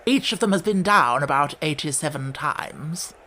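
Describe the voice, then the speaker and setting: A man's voice: fairly high pitch, lively intonation, moderate pace, sharp tone, a little hiss or hum. Man as a choking, glum storybook hatter. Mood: choked, glum. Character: glum hatter talking through mouthful of food.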